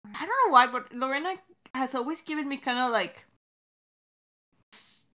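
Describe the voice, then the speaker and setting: A woman's voice: high voice, moderate pace, pushed and bright. A woman co-hosting a creator Q&A, chatting casually in a giggly way and swearing freely. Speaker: podcast creator and co-host.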